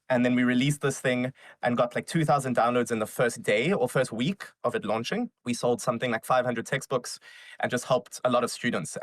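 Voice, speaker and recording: A man in his twenties from South Africa, telling his story as an interview guest in a casual, energetic way. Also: mid-range voice, no echo. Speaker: guest, startup founder.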